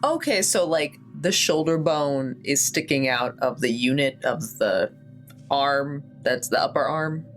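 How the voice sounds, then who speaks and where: A woman playing a tabletop game, asking questions casually and haltingly as she thinks aloud. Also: very deep voice, expressive. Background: background music.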